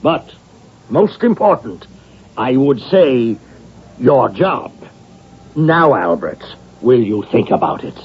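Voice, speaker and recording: An actor playing a pragmatic, blustering university president, halting and exasperated, in a radio drama. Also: male voice, medium pitch, very animated. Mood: wheedling pressure. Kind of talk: vintage-style radio drama acting. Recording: dull, noisy old radio broadcast recording.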